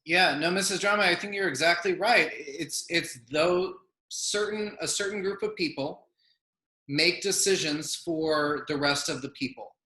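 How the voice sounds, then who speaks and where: A man moderates a panel discussion in a thoughtful, measured way, often softening his points with "I think" and "you know." His voice is bright and fairly high. It rings in an echoey room. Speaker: session moderator.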